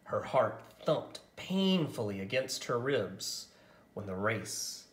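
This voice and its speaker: A man narrating a picture book aloud as a storyteller, with expressive, dramatic and deliberate phrasing. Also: mid-range voice, echoey room.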